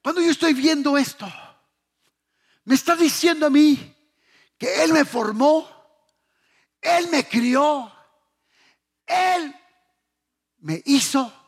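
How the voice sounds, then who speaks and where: Male preacher, very high raspy voice, slow emphatic delivery with repeated phrases and rhetorical questions. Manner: swings between hushed lines and shouted exhortation. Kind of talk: fervent sermon to a congregation.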